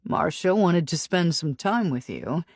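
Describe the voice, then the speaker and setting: A woman's voice: lowish voice, lively intonation, a little slow, dry recording, clean studio sound. A woman narrator voicing a cool, controlled male billionaire with a chilly, composed voice. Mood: cool, smooth.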